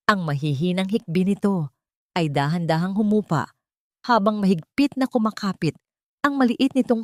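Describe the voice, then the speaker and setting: A woman's voice: fairly low pitch, darkish tone, no echo, clean studio sound. A woman reading scripted dramatic narration in a solemn, emotive storytelling manner.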